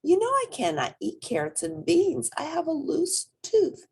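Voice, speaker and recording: Lady doing a little girl bunny from a picture book, childlike n stubborn, very singsong, bit creaky. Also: high-pitched, wildly swooping pitch, measured pace, a little mellow, voice pushed up, heavily acted. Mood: fussy, doubtful. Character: curious young girl rabbit with loose tooth.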